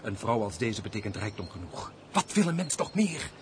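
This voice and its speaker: Lovesick aristocratic major, fervent and formal, low voice, period radio play with faint hiss. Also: male voice, big pitch swings, moderate pace. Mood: fervent, defiant. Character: ardent, lovesick aristocratic major, earnest and impulsive. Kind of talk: Dutch radio play, period stage dialogue.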